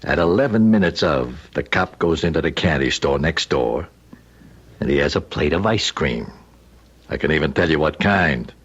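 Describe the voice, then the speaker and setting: An actor playing a cocky young stick-up man in old-time radio drama, with rough, confident, insistent tough-guy talk. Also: very deep voice, animated, moderate pace, heavily acted. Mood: confident, scheming. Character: cocky hard-boiled young stick-up man planning a robbery. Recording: dull old radio recording.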